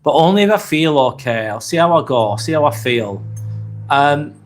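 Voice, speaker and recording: A man in his forties with a Lancashire accent, hosting a casual live stream, chatting in a relaxed, rambling way with plenty of ums. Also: fairly high pitch, normal speed, slight background noise. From northwest England. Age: 40s. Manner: relaxed, rambling, reads chat comments aloud with many fillers. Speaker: livestream host.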